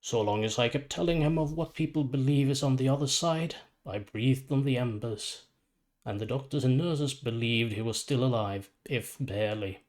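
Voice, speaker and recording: Storybook psychopomp villain played by a man: ancient, weary, courteous, measured and formal, unbothered. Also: mid-range voice, a little mellow, close to an everyday voice. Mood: quietly matter-of-fact. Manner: measured, formal, matter-of-fact. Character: calm, weary, ancient psychopomp supervillain, death's courteous guide.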